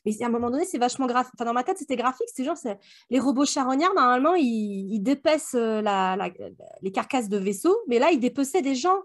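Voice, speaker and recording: A woman's voice: fairly high pitch, animated, quick pace. A woman co-hosting a book club podcast, retelling story scenes in a colloquial, vivid, loosely organised way.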